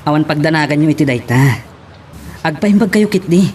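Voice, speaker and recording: A woman's voice: sits low, animated, fairly quick, a little mellow, slight background noise. An actress with an Ilocano accent playing an exasperated daughter pleading with her mother in a radio drama. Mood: pleading, firm.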